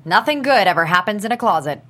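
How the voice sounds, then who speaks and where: A woman's voice: mid-range voice, lively intonation, fast, sharp tone. A woman playing a blunt, teasing family member in a script table read.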